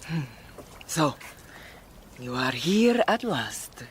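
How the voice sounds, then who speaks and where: Slow, brooding countess. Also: female voice, low-pitched, big pitch swings, slight background noise, full character voice. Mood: knowing, controlled. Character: world-weary Italian countess confessing to a murder. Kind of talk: radio drama acting.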